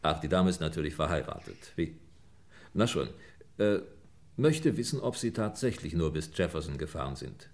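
An actor playing a brusque, sardonic homicide inspector with a curt, pressing manner, in a vintage German radio crime play. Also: male voice, extremely low pitch, big pitch swings, normal speed, darkish tone, exaggerated voice. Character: brusque male police homicide inspector, impatient, sardonic interrogator.

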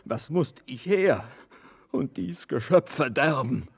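An actor playing a haunted, anguished young man in a poetic radio drama, husky and declamatory. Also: on the high side, expressive, exaggerated voice. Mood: bitter anguish. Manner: slow, declamatory.

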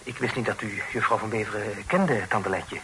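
An actor with a Netherlands Dutch accent playing a polite, hesitant young nephew in a radio drama. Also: male voice, on the low side, normal speed, bright, forceful tone. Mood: polite, uneasy. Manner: hesitant, courteous, diplomatic. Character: polite, slightly flustered young nephew, a well-mannered gentleman. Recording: noisy old radio play recording.

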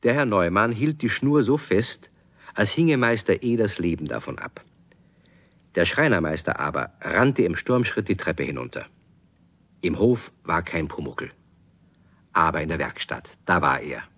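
A man's voice: low-pitched, lively intonation, a little slow, fairly bright tone, full character voice. A man giving scripted narration as the storyteller of a children's radio play.

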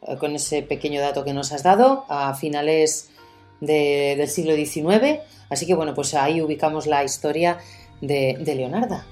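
A woman's voice: low voice, normal speed, mellow, soft background music. A woman hosting a radio show, introducing and chatting with her colleague. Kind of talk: casual chat and scripted introductions.

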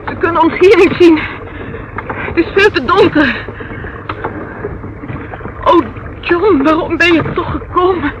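A woman's voice: very high-pitched, slow pace, pauses often. An actress playing an anxious, devoted young woman who is brave under danger, in a radio drama. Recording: muffled, noisy old radio drama recording.

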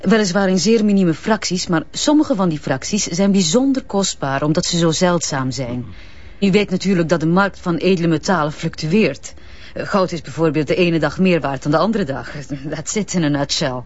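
An actress playing a brisk, self-important businesswoman with a Flemish accent, sprinkling in affected English jargon, in a naturalistic radio drama. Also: on the low side, a little mellow, highs cut off, lightly acted. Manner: hesitant ehs, affected English business words.

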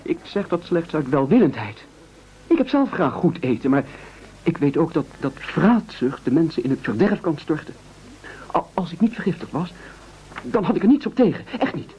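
A man's voice: fairly high pitch, big pitch swings, normal speed, a little hiss or hum. An actor in a radio play playing a frightened, evasive castaway who stammers, fusses and ingratiates himself as he pleads. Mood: anxious, pleading. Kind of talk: absurdist radio play acting. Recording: muffled old radio play recording.

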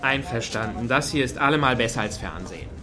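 A man's voice: mid-range voice, lively intonation, brisk, a crowd in the background, lightly acted. A man acting in a satirical radio play as an easygoing, agreeable peacemaker on a night out, talking casually.

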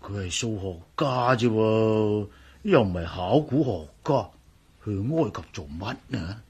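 沙啞囉嗦、大起大落嘅老管家. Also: male voice, fairly low pitch, lively intonation, measured pace, a little mellow, breathy edge, muffled recording, exaggerated voice. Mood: curious, excited. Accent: Hong Kong Cantonese. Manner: fussy, sighing, stammering. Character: fretful, grumbling old male household servant, easily frightened. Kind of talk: radio drama acting.

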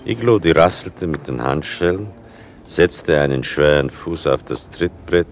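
An older man narrating a literary radio play in a gravelly, dry, measured and ironic way. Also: slight background noise. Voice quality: deep, gravelly.

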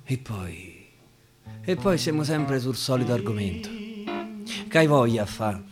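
Male stage storyteller, Roman accent, dramatic dialect recitation, very varied pitch and slow delivery over guitar. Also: expressive. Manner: dramatic, repeating phrases for emphasis. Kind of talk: theatrical recitation of dialect verse. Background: acoustic guitar.

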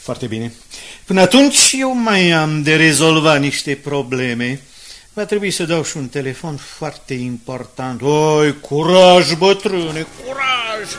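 Radio drama role: brash, scheming newspaperman, persuasive and emphatic, lighter high-set male voice. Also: animated, fairly bright tone. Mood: cocky, rallying. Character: brash, confident newspaperman scheming against a rival. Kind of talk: 1980s Romanian radio drama acting.

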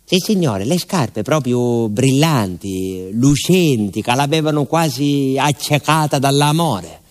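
An actor playing a naive, talkative village postman with a southern Italian accent, telling his story in a confiding, halting radio monologue. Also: mid-range voice, animated. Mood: animated, confiding. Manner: folksy, confiding, with hesitations and repetitions.

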